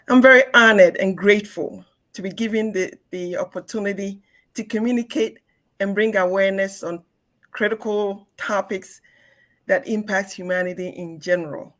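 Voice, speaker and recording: A woman's voice: medium pitch, steady tone, normal speed. A woman, an industry expert, giving a formal introduction on a panel.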